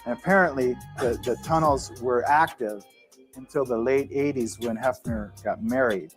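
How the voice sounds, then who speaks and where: Male podcast storyteller, mid pitch, easy anecdotal delivery. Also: moderate pace, faint music behind, poor audio. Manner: chatty, amused storytelling.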